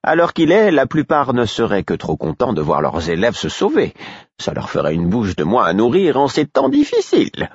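A man's voice: mid-range voice, wildly swooping pitch, normal speed, husky, no room sound, highs cut off, voice pushed up, exaggerated voice. A man voicing a kindly older male harpist, a father, who speaks in a reassuring, lecturing manner.

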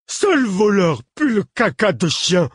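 Shrill, slow, childish simple stocky brute for an audiobook. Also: male voice, very animated, husky, no room sound, studio quality, heavily acted. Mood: possessive, furious. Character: angry simple-minded stocky man, childlike. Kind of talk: audiobook reading.

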